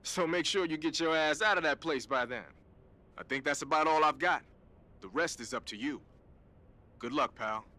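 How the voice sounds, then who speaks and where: A man's voice: a little high, moderate pace, pauses often, pushed and bright. An actor playing a cool, slangy male weapons expert who briefs casually in a video game. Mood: breezy, instructive. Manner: casual, streetwise, chatty.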